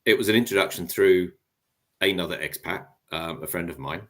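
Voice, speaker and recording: Man, very deep voice, halting with lots of ums, casual storytelling, video call. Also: a bit bright. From expat living in Japan. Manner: halting, many ums and restarts. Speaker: guest panelist. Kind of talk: casual storytelling on a live web panel.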